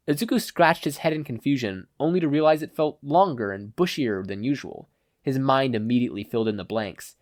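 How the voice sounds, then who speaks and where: An expressive story narrator at a medium pitch, reading scripted fiction. The delivery moves around a lot in pitch, as in an audiobook. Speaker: podfic reader.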